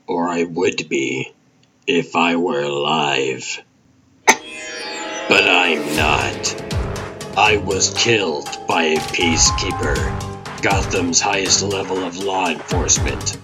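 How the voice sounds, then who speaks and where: A man playing a brooding, world-weary vigilante detective, narrating his own noir story in a grim, terse, deliberate way for a comic dub. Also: deep voice. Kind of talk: dramatic first-person noir scripted narration.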